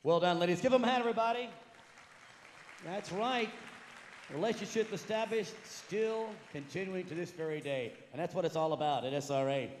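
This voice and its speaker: A man's voice: sits high, moderate pace, a faint crowd, slight background noise. A man hosting questions at a conference in a large hall, with a showy, upbeat emcee manner. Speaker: event host. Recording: echoey hall, long reverb.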